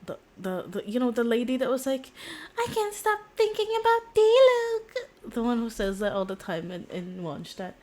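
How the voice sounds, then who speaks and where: A woman's voice: on the high side, wildly swooping pitch, normal speed, few pauses, a little mellow. A woman game streamer chatting casually in a rambling, slangy, blunt way, often repeating her words. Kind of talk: casual live-stream chat. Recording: faint background noise, game audio.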